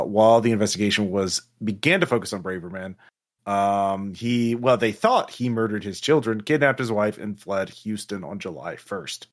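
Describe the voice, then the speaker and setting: A man narrating as game master of a tabletop roleplaying game, in a halting, improvised way. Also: on the low side.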